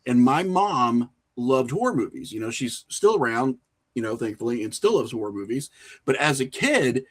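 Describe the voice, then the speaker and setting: Middle-aged man, medium pitch, reflective, informal, anecdotal, conversational interview guest. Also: sing-song, moderate pace. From small rural town. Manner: informal, anecdotal, chuckles through remarks. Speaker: podcast guest, horror filmmaker and writer.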